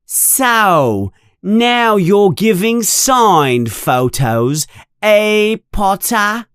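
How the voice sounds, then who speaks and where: A man's voice: medium pitch, very animated, no room sound, studio quality, pitched above the performer's own voice. A man voicing a mean, sneering schoolboy bully who taunts and mocks in a storybook reading. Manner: taunting, mocking, drawn-out jeers.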